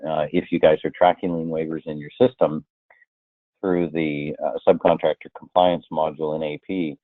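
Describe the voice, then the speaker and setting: A man presenting a software training webinar, explaining slide by slide in a conversational way with hypothetical examples. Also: rumbling low, little pitch movement, normal speed, dry recording. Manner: explanatory, illustrates with hypothetical quotes. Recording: muffled, tinny phone-like line.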